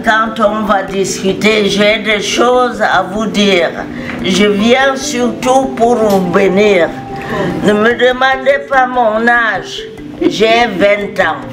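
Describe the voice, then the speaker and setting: An older, retired woman from Cameroon introducing herself informally as a community elder, speaking deliberately phrase by phrase. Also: medium pitch. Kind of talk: informal spoken self-introduction. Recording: roomy, distant mic, clear music behind.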